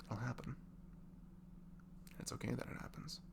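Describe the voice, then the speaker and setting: A young man softly leaving an intimate, improvised comfort message in ASMR style, tender and reassuring, murmuring and often slipping into a breathy whisper. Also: moderate pace. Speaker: amateur audio performer playing a comforting friend. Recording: clearly noisy home recording with hum.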